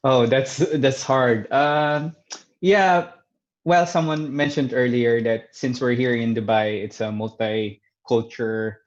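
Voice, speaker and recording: Man, casual panel guest, halting delivery full of fillers, muffled lo-fi sound. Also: mid-range voice, fairly even intonation. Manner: hesitant, many fillers and restarts. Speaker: videographer. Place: Dubai.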